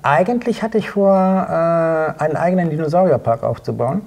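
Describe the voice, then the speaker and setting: A middle-aged man answering interview questions casually, in a plain-spoken, matter-of-fact way with a husky, gravelly voice. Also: on the high side, moderate pace, darkish tone. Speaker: interview guest, sculptor and illustrator. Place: small indoor talk-show studio.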